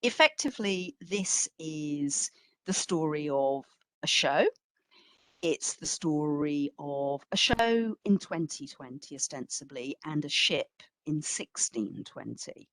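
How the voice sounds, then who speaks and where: Slow, measured woman lecturer, lower-pitched. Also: animated, fairly bright tone, no room sound, rough recording. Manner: deliberate, emphatic phrasing with asides. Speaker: museum curator giving a talk. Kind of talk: public history lecture, conversational.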